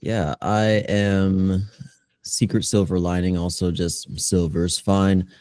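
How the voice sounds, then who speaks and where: A man's voice: deep voice, flat delivery, slow, a little mellow, no echo. A man, a creative director being interviewed, who speaks hesitantly and stumbles over his words. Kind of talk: interview guest.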